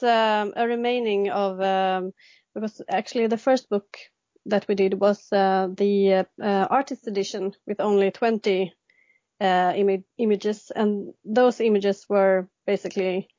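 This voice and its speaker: A Swedish woman in her thirties speaks English with a clear Swedish accent as a podcast guest. She hesitates often, filling gaps with ums and restarting her sentences. The sound is dry and slightly dull, with an ordinary pitch. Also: steady tone, measured pace, a little mellow, no room sound. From Sweden. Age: 30s. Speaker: guest illustrator. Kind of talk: casual interview answers.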